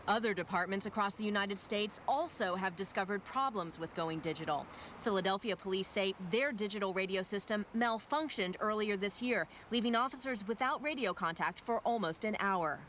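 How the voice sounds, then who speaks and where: A woman's voice: mid-range voice, a bit bright. Woman reporter doing a formal news read, slight hiss behind. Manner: measured, formal delivery. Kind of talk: scripted news narration.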